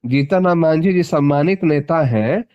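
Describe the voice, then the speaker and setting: Male political interviewee on a phone call, high voice, insistent and repetitive for stress. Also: normal speed, clean tone. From Bihar, India (Magadh region). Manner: emphatic, repeats phrases for stress. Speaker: party politician being interviewed.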